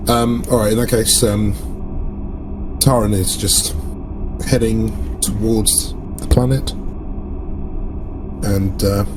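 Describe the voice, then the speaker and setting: A man's voice: on the low side, fairly even intonation, slow pace, pauses often, background noise. A man chatting casually as a player in a tabletop role-playing game.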